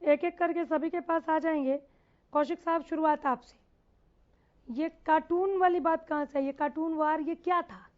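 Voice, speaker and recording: A woman's voice: sits high, steady tone, normal speed. A woman moderating a television news debate as its host, addressing guests and putting questions to them. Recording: slightly dull, lo-fi broadcast audio.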